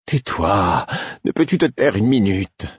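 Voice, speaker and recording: A man's voice: low-pitched, sing-song, brisk, husky, no echo, lo-fi sound, heavily acted. A man voicing an exhausted, exasperated young mother in an audiobook, snapping and complaining with angry defiance. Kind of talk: audiobook character acting.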